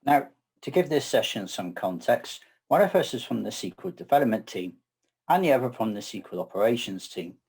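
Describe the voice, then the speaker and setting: A middle-aged man with a West Country English accent, co-presenting a technical session in a measured, slightly wry way. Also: mid-range voice, very animated, a little mellow, slightly rough. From southwest England. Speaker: conference co-presenter. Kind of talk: scripted tech presentation.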